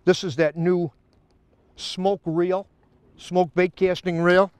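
A man's voice: high-pitched, little pitch movement, takes it slowly, smooth, no echo. An older man chatting casually and colloquially as a fishing show host, outdoors on a boat. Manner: folksy, colloquial. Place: outdoors on a boat on open lake. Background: faint music.

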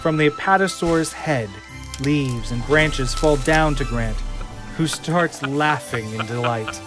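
A man's voice: medium pitch, unhurried, music in the background. A man reading the scene directions of an audio drama as narrator.

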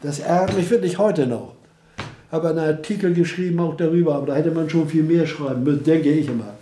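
An older man speaks about his memories with heated indignation, his voice slightly high yet dark and mellow. The living room around him adds a noticeable roomy echo. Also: fairly quick. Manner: impassioned, indignant storytelling. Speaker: interviewee recalling wartime. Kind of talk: personal memory interview.

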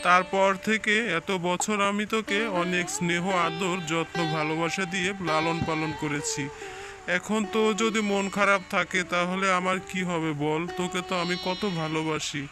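A devoted fox dad from a cartoon, acted by a man, speaks in a high, emotive voice. He sounds affectionate and pleading, with music playing underneath. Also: fairly even intonation, normal speed, close to an everyday voice. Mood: tender, pleading. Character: tender, devoted fox father, anxious to please. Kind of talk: cartoon voice acting.

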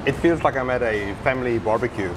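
A man's voice: mid-range voice, brisk, noisy background. Eager man reviewing food. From South Africa. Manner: enthusiastic, talks to camera while eating. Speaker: restaurant reviewer. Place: indoor restaurant by a busy street.

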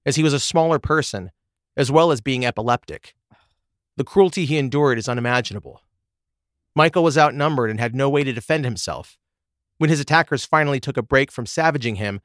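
A man's voice: medium pitch, a bit bright, dry recording. Man narrating true crime, close dry sound. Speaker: podcast host.

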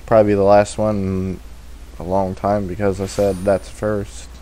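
A man's voice: fairly low pitch, little pitch movement, normal speed, dark tone. A man giving casual, rambling gameplay commentary as a let's play host, with a self-deprecating manner.

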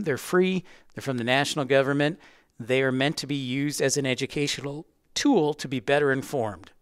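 Clear-voiced man chatting on a livestream. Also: medium pitch, no room sound, clean studio sound. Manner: chatty, rambling, addressing viewers by name. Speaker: livestream host, aviation educator. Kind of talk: casual livestream chat with viewers.

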